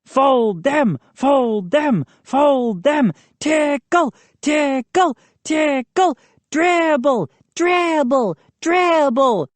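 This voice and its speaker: A woman's voice: on the high side, slow pace, no echo, pitched above the performer's own voice, exaggerated voice. A woman voicing a small, mischievous male gnome, gleeful and taunting, in a storybook reading. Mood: chanting glee. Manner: chanting, excitable, taunting.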